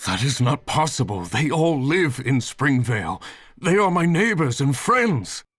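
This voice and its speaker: A confused, insistent man from long ago in a video game. His voice is husky and dry, with lively pitch movement over music. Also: a little slow, dry recording, exaggerated voice. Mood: bewildered, insistent. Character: confused, insistent man displaced from a distant past.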